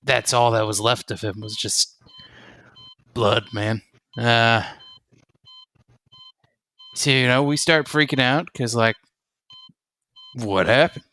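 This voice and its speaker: A man playing a shaken, wary army soldier in a tabletop roleplay, talking in halting, slangy bursts. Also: medium pitch, fairly even intonation, pushed and bright. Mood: shaken, rattled. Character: shaken male army soldier, sole survivor, wary and informal.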